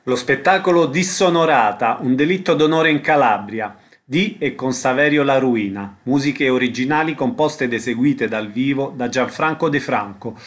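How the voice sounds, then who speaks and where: A man's voice: mid-range voice, moderate pace, few pauses. A man presenting a radio show as its host, announcing and interviewing.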